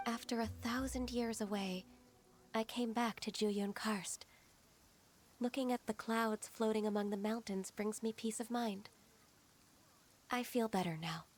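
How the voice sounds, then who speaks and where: A woman playing a gentle, melancholy, ancient but youthful-sounding immortal in a video game, speaking softly and formally. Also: fairly high pitch, a little slow, pauses often. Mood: soft, soothed. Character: gentle, melancholy, millennium-old female adept, youthful and formal. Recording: game audio with music behind. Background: music.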